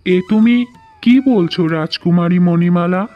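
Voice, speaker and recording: Man playing a troubled high-voiced cartoon king. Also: a little slow, highs cut off. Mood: bewildered. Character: troubled fatherly fairy-tale king. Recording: music behind the voice.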